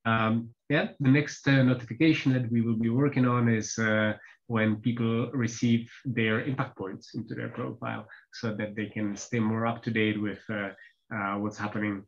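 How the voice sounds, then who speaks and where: A man whose first language is Czech, presenting software updates on an online team call, pausing often with fillers. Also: medium pitch, little pitch movement. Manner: hesitant, many filler pauses. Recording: slightly dull lo-fi call audio.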